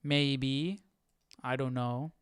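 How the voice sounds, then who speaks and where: A man hosting a casual live Bible study, reading aloud and thinking out loud in an informal, slangy way. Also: medium pitch, fairly even intonation, very slow, clear, resonant tone, no room sound, clean studio sound.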